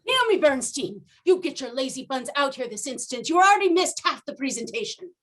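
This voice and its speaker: A woman's voice: high voice, big pitch swings, fairly quick, fairly bright tone. A woman playing a nagging, exasperated mother on vacation in a stage play, scolding theatrically. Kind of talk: stage play comedy dialogue.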